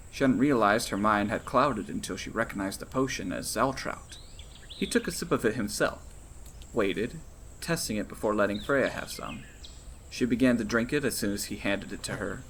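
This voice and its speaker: A man's voice: medium pitch, a bit bright, a little hiss or hum. A man reading his own fantasy novel aloud as scripted audiobook narration.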